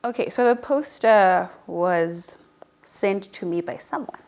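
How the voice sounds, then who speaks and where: Female, early 20s, mid-pitched voice, casual and chatty interviewee, natural storytelling delivery. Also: takes it slowly, a little mellow. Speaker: newly appointed online show presenter being interviewed.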